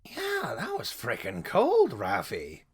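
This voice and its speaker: Man playing slangy, brash monkey fighter with swooping pitch. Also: huge pitch swings, takes it slowly, breathy edge, exaggerated voice. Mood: indignant. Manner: slangy, casual, swearing. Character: brash, laid-back male monkey-like Venara fighter who likes a drink. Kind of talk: audio fantasy drama acting.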